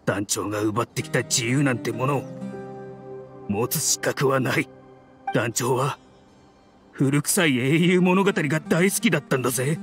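A man voicing a grim, resolute mercenary in a video game, speaking in a rough, blunt and earnest dramatic manner. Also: medium pitch, measured pace, pauses often, lightly acted. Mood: bitter, earnest. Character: grim, resolute mercenary man bent on avenging his leader. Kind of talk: dramatic anime-style game acting. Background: soft music.

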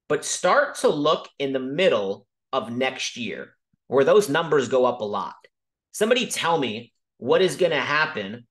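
A man casually teaching about markets over a video call, talking quickly and emphatically and often checking in with "okay?". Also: mid-range voice, a bit bright. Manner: fast, emphatic, frequent "okay?" check-ins. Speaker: crypto educator hosting a Zoom call. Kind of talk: casual screen-share teaching.

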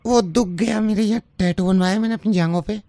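A man's voice: sits high, a little fast, gravelly, dark tone, no room sound, studio quality. A man voicing a chatty, secretive middle-aged aunt who giggles and confides. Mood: gossipy.

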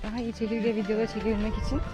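A woman's voice: a little high, flat delivery, rapid-fire. A woman vlogging in casual chat, with a playful mock-reporter way of narrating. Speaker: vlogger filming a visit. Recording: music over the talk. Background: music.

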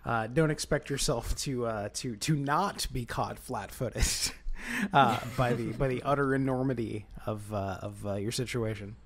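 A man chatting casually and haltingly as a player on a tabletop game podcast. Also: medium pitch, crowd noise. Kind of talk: casual chat.

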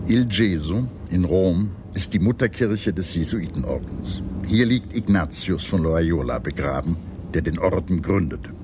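A man with a low voice delivers scripted documentary narration. He tells it in a measured, articulate way, like an audiobook storyteller. Music plays clearly behind his voice. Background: background music.

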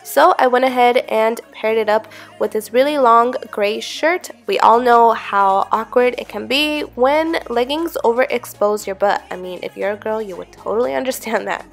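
Young woman fashion vlogger, bit high, talking straight to camera; sounds slightly sick; faint music bed. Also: normal speed, gravelly, few pauses. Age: young adult. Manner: chatty and upbeat, with small slips and giggles. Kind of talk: casual vlog talk to camera. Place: small bedroom with string lights, indoors.

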